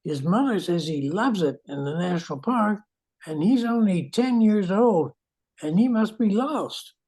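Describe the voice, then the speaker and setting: A woman playing a serious, steady park ranger in a radio play, speaking in a measured way. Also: medium pitch, slightly rough. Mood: grave. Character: grave, steady park ranger seeking help. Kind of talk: old-time radio play acting.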